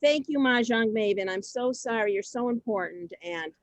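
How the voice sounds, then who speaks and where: A woman hosting a community reader's theater on a video call, halting and flustered, often repeating herself. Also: on the high side, expressive, no echo. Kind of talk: semi-scripted moderating.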